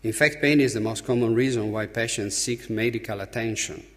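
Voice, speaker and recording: A man from Italy with an Italian accent gives a conference speech as an opening speaker, emphatically, with halting, deliberate pauses. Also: on the low side, fairly bright tone. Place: large conference hall. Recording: reverberant hall, long echo.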